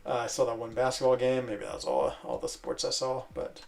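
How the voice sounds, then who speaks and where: A man who grew up in Switzerland, chatting casually and rambling while he plays a video game, breaking off into quick exclamations. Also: mid-range voice, very animated, vocal fry. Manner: rambling, self-interrupting chatter with fillers and sudden exclamations. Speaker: gaming streamer.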